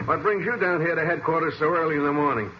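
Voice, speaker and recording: High-pitched, easygoing American police sergeant, acted by a man. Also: fast talker, close to an everyday voice. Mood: casual, friendly. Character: easygoing, hesitant male police sergeant at headquarters. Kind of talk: old-time radio drama acting. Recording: muffled, hissy vintage radio recording.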